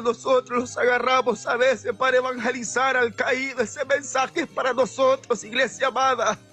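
A man preaching a fervent evangelical revival sermon, exhorting repetitively with devout interjections and an emotional, impassioned delivery. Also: very high-pitched. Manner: emotional, impassioned, often rising toward shouts or tearful pleading.

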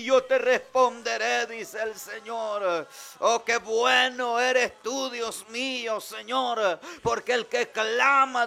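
A man leading a fervent prayer for healing, repeating short invocations between phrases and building up to shouted declarations. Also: very high-pitched, gravelly.

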